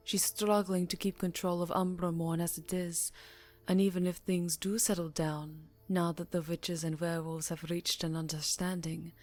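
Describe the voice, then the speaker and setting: A seductive vampire countess, played by a woman for an intimate ASMR roleplay, speaks in a husky, breathy voice that often sinks into whispers. Her delivery is very slow and deliberate, with a formal, teasing, aristocratic air. Music plays clearly behind her. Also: on the low side, darkish tone.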